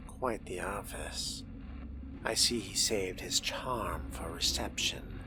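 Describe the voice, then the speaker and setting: A man voicing a refined, sardonic fledgling vampire in a video game roleplay, with a deliberate, archly formal manner. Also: sits low, background noise. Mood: wry, curious. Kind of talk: in-character roleplay narration over a game.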